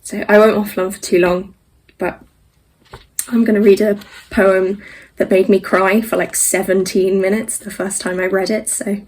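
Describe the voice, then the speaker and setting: Young woman speaking sincerely, fairly even pitch. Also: moderate pace, rough recording. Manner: sincere, heartfelt. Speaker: young actor. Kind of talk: personal video message.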